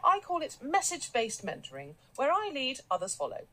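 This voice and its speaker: An actress playing a brisk, self-assured, domineering business boss, commanding and condescending, in a radio drama. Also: female voice, sits high, wildly swooping pitch, fairly bright tone, exaggerated voice. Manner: commanding, condescending, jargon-heavy. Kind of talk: naturalistic radio drama acting.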